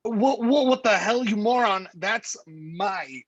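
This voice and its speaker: A jittery, cowardly crook in a noir stage comedy, played by a man, stammering and wisecracking in indignation. The voice is husky and rough-edged, recorded dry. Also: sits high, animated, slow pace, no room sound, lo-fi sound, heavily acted. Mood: indignant. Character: flustered, cowardly, wisecracking male crook and husband.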